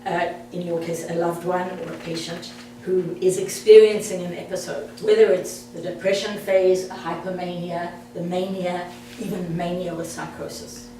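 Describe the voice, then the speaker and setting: A woman's voice: fairly low pitch, flat delivery, normal speed, few pauses, dark tone. South African woman speaking in an echoey room. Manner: friendly, direct, chatty with the audience. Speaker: presenter. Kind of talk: interactive talk to an audience. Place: meeting room. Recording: echoey room, far from the mic, faint hum.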